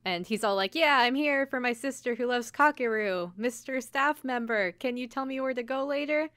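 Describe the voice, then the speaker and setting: A woman's voice: fairly high pitch, moderate pace, a bit bright, no room sound, studio quality. A woman podcast co-host telling a story in casual chat, informally, with lots of "like" and quoted asides. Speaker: podcast co-host recapping an anime episode. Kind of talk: casual chat, episode recap.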